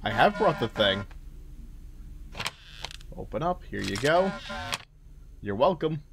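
A man's voice: medium pitch, big pitch swings, takes it slowly, vocal fry, music in the background. A man doing casual playthrough commentary as a gaming host, thinking aloud and talking back to game characters. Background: game ambience.

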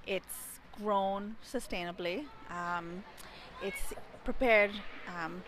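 A woman in her thirties, from India and raised in Dubai, speaks outdoors beside a building into a handheld microphone held close. She answers casually, pausing often as she searches for words. Her pitch sits mid-range, with a faint hiss of background noise. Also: a bit bright, rough recording. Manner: thoughtful, hesitant, pauses to find words. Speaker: interviewee. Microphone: handheld mic held close.

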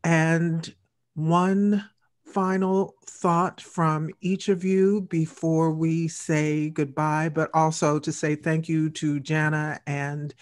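An older woman hosting an interview show on a video call, with measured delivery. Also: low voice, darkish tone, no echo, studio quality. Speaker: radio show host. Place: indoor room on a video call.

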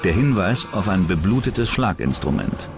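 Man, true-crime narrator, scripted delivery, low pitch, very varied intonation. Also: sing-song, moderate pace, creaky, loud music behind the voice. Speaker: documentary narrator.

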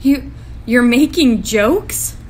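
A woman's voice: on the high side, expressive, very slow, background noise. A woman playing a despairing, guarded young woman in a comic book dub, sardonic and incredulous. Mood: hurt, angry. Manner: exasperated, incredulous.

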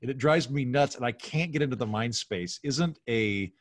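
A man's voice: medium pitch, lively intonation, normal speed, slight background noise. A middle-aged American man chatting casually and giving his opinions as a podcast guest on a video call. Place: home room on a video call.

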